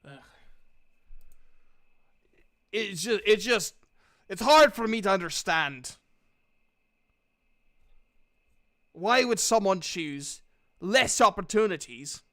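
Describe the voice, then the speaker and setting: A man's voice: sits high, lively intonation, a little slow, bright, forceful tone, dry recording, studio quality, voice pushed up, exaggerated voice. A man acts out a bitter guild leader from a tabletop fantasy game. He is cynical and foul-mouthed, with a raised, hard-edged voice that rants scornfully about anyone with ideals. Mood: frustrated. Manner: ranting, scornful.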